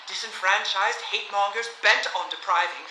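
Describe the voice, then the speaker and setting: A sardonic young Hollywood woman, played by an actress, makes grand, defiant speeches in a period radio drama. The sound is roomy, with clear noise behind her. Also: mid-range voice, moderate pace, pushed and bright. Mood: sardonic, cool. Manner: sarcastic, grandly rhetorical. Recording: roomy, noisy hall sound.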